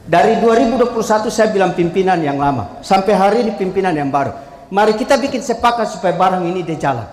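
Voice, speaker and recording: A man's voice: sits high, lively intonation, quick pace, darkish tone. A man from the civil registry gives an emphatic formal presentation to a jury panel. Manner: emphatic, calls out to the audience, moves slide to slide. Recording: echoey hall, faint ambience.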